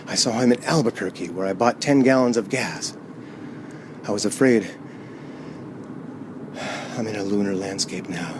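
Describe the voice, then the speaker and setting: A man's voice: on the low side, noisy background, natural voice. A man playing a frightened, haunted driver in a radio play, agitated and stammering as he pleads and narrates. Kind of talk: radio play acting, dramatic first-person narration.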